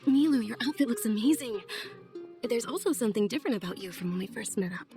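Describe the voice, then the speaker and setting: An actress playing a polite, gentle young noblewoman with an admiring, devout temper in a video game. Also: on the high side, expressive, quick pace, music in the background. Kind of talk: video game character voice acting.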